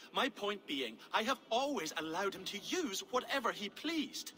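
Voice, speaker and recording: Aggrieved, high-pitched horse keeper in a hall. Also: male voice, bright, forceful tone, full character voice. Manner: formal, plaintive, indignant. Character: aggrieved, fussy, courteous male horse keeper. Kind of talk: dramatic video game acting.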